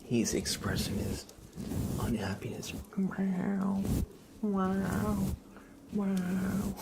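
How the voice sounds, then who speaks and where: A man hosting an ASMR livestream, chatting casually and playfully in a soft voice that often slips into whispers. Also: sits high, sing-song, very slow, creaky, very noisy. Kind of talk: ASMR, casual chat to viewers and a pet cat.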